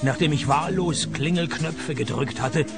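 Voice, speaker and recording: A man playing a flippant, deadpan private detective in a radio play, narrating and sparring drily. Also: on the high side, unhurried, loud music behind the voice. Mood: dry, laconic. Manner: deadpan, sardonic. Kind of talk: radio play acting with first-person narration.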